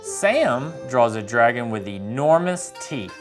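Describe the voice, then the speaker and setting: A man's voice: mid-range voice, animated, takes it slowly, music in the background. A man narrating a scripted children's story as a guest reader.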